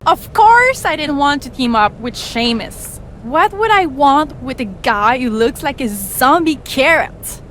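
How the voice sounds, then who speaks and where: A woman's voice: high-pitched, expressive, measured pace, hardly any pauses, fairly bright tone, a little hiss or hum. A woman playing a catty, gloating female wrestler in a video game cutscene, haughty and mocking. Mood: scornful.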